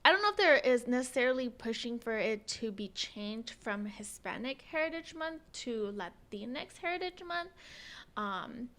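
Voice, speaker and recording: A woman's voice: on the high side, lively intonation, normal speed, a bit bright. An American woman co-hosting a podcast in a casual, informal chat. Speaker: podcast co-host.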